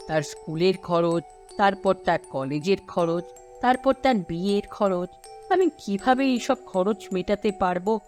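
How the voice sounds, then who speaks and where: A woman's voice: lowish voice, a little mellow, lightly acted. A woman voicing an earnest, worrying husband and father in a cartoon story, with exclamatory delivery.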